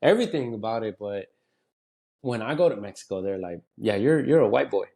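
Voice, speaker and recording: A man's voice: lowish voice, animated, fairly quick, creaky, a little mellow. An American man chatting casually as a comedian guest, slangy and sweary, telling stories with quoted asides. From United States.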